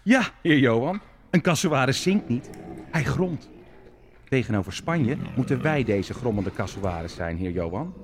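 An imperious male prince played by an actor in a historical radio play. His medium-pitched voice swings widely as he explains with grand, menacing relish, with animal noise clearly behind him. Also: lively intonation, a little slow, lightly acted.

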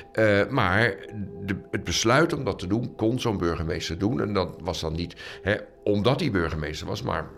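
Deep-voiced man explaining history. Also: vocal fry. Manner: explanatory, punctuated with tag questions and rephrasings. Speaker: interviewed historian. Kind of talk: conversational explanation in an interview.

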